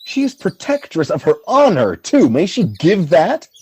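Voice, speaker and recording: A man's voice: high voice, wildly swooping pitch, normal speed, a little mellow, breathy edge, exaggerated voice. A man acting a proud, tormented, jealous general in a Shakespearean radio play, brooding and impassioned in his verse. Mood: troubled.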